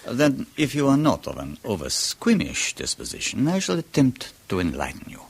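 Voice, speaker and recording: An actor playing a measured, ironic French doctor with a French accent in a 1970s radio drama. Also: male voice, mid-range voice, wildly swooping pitch, creaky, slightly rough, exaggerated voice. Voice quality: deliberate, ironic, softly theatrical. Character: suave older male French doctor, measured and wry. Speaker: physician.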